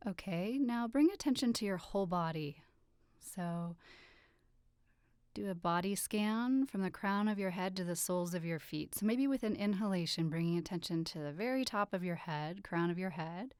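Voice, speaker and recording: A woman leading a guided relaxation meditation with soothing, gentle instructions and unhurried pauses. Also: on the low side, smooth, no echo, clean studio sound. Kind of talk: guided meditation, yoga nidra.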